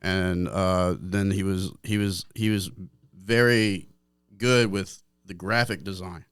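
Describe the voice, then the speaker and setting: A man's voice: deep voice, fairly even intonation, takes it slowly, fairly bright tone, smooth, dry recording, studio quality. A man from Atlanta, a guest musician, telling stories in a joking, rambling way full of fillers. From Atlanta, Georgia, USA. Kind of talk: casual interview chat.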